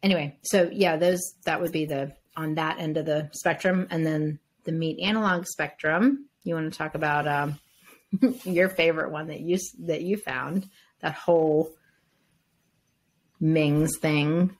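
A chatty woman co-hosting a podcast, her voice sitting a little low. She talks in a rambling, casual way, restarting sentences and tossing in asides as she goes. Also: fairly even intonation, a little slow. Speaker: podcast co-host. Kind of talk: casual chat.

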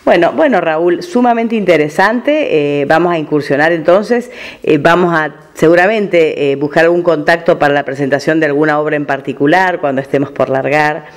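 Higher-pitched Argentine male radio host. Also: normal speed. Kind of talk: conversational radio interview.

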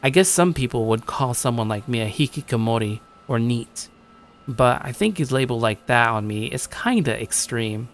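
A man's voice: mid-range voice, lively intonation, moderate pace, a little hiss or hum, close to an everyday voice. A man playing a jaded, self-conscious young shut-in, telling his story in the first person with weary, sardonic asides. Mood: matter-of-fact. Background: background music.

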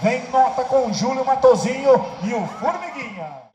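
High-pitched man calling rodeo replays. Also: animated, normal speed, crowd noise. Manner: explanatory, pointing out technique. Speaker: rodeo commentator. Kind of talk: play-by-play replay commentary. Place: rodeo arena. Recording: echoey arena PA with long reverb.

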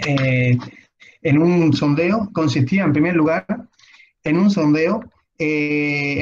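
A man in his thirties with an Andalusian Spanish accent, slightly hoarse, presenting archaeology over slides in a halting way full of restarts. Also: fairly high pitch, slight background noise. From Spain. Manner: halting, many restarts and fillers. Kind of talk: conference talk over slides. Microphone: webcam on a video call. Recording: dull lo-fi video call audio.

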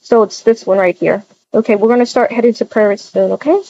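A woman's voice: mid-range voice, steady tone, fast, warm tone, lo-fi sound, slight background noise. A woman improvising a voiced video-game roleplay, chatting and narrating her own actions aloud.

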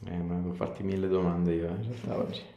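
A man's voice: low voice, steady tone, quick pace, mellow, dull audio. A man, giving informal, encouraging step-by-step instructions to a patient as a chiropractor. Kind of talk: hands-on clinical instructions and casual chat with a patient. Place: small clinic treatment room, indoors. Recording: echoey small room with clear background noise.

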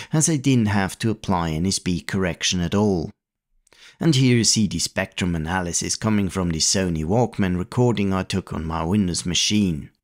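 A man hosting a retro computing channel, giving a calm, methodical tutorial voice-over. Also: low-pitched. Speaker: retro computing video host.

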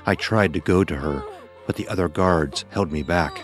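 A low-voiced man tells a dark first-person story over faint music. His delivery is grave, measured and dramatic, as in an audio horror tale. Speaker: narrator.

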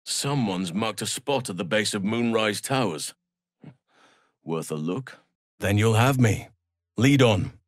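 A male actor playing a grave, earnest elf druid in a video game, speaking solemnly in measured, formal phrases. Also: on the low side, lively intonation, dry recording, studio quality. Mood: calm, measured. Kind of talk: scripted game voice lines.

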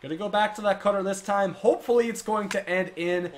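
A young man doing casual, excitable play-by-play over his own video game, a bit congested from a cold, in a small room at home. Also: sits high, fairly quick. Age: young adult. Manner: excitable play-by-play, calls hits like a broadcaster. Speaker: gaming YouTuber. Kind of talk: casual gameplay commentary.

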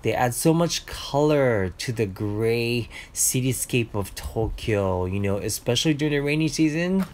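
A man's voice: medium pitch, expressive, a little slow, few pauses, a little mellow. A man in his forties narrating a casual vlog, explaining food and his neighborhood to viewers in a chatty way. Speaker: vlogger.

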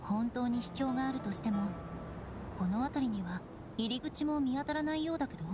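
A woman playing a cool, proud young knight in an anime game, poised and matter-of-fact in her measured delivery. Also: sits high, noisy background, lightly acted. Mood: composed. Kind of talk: Japanese anime game acting.

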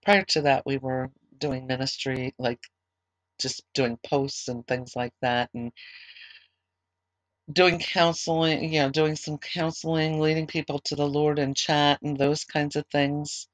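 A slightly hoarse woman leading a small online religious service, giving announcements in a conversational way with fillers and restarts, then praying. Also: low-pitched, steady tone, unhurried, no room sound. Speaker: ministry leader.

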